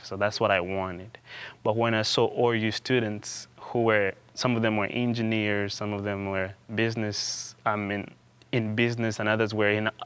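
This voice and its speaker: A young man from Uganda answers interview questions in a fairly low, smooth, resonant voice. He talks haltingly, often restarting and repeating words as he goes. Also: a bit bright. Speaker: interview guest, theology student. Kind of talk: conversational interview.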